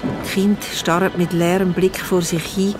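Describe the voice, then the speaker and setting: A woman with a Swiss accent narrates a children's audio drama. Her voice is on the low side, and loud music plays behind her scripted storytelling. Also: flat delivery, moderate pace.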